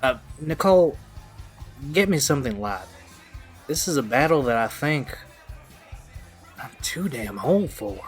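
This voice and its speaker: A man's voice: mid-range voice, big pitch swings, unhurried, long pauses, faint music behind. A man playing a sarcastic, exasperated pal at a party in an audio drama, wry and sweary.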